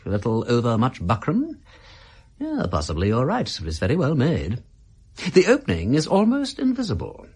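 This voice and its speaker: An actor in a radio drama playing a witty, mocking British gentleman adventurer posing as a shady informer, with languid, teasing, flourishing speech. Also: mid-range voice, wildly swooping pitch, normal speed, husky, heavily acted. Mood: theatrical. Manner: arch, languid, teasing, flourishing turns of phrase.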